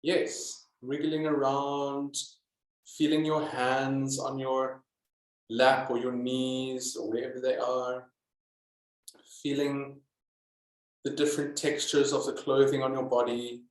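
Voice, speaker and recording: Slow-speaking man guiding yoga, echoey room. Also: medium pitch. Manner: calm, deliberate, with many pauses. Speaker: yoga teacher. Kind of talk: guided yoga and meditation instruction. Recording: echoey, roomy sound.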